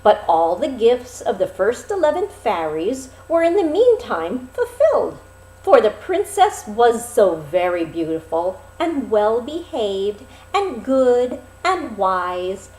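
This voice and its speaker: A woman narrating a fairy tale expressively, with dramatic, deliberate phrasing and pauses. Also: medium pitch, sing-song, darkish tone. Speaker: storyteller. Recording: roomy, echoing sound.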